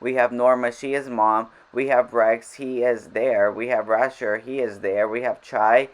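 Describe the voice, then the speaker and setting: A woman's voice: rumbling low, steady tone, unhurried, rough recording. A woman whose first language is Spanish, chatting over gameplay in a casual voiceover. She rambles and interrupts herself, a little frazzled. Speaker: game vlogger.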